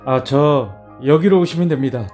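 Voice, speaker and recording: Sex: male figure, put on by a woman. Figure: calm, formal hospital attendant in an audio drama. Pitch: low, well below her own. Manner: flat, official. Behind: soft music. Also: normal speed. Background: faint music.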